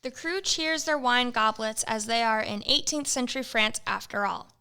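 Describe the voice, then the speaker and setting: Bright, crisp woman narrator. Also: on the high side, normal speed. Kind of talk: scripted narration, reading stage directions.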